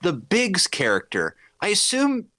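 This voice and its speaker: Animated, higher-voiced man, close and dry. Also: unhurried, no room sound. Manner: animated, acts out imagined dialogue. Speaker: podcast panelist. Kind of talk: casual pop-culture podcast chat.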